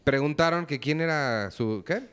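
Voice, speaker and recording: A man's voice: fairly high pitch, expressive, measured pace, fairly bright tone, clear, resonant tone. A man, co-hosting a podcast in casual chat. He jokes and teases the other hosts in a playful way. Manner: jokey, playful teasing. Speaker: podcast co-host.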